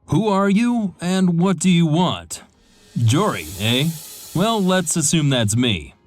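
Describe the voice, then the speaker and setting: A man's voice: fairly high pitch, very animated, slow pace, faint music behind. An actor playing an old, slightly tipsy sea captain in a game cutscene, telling his story with a drawl. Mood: gruff.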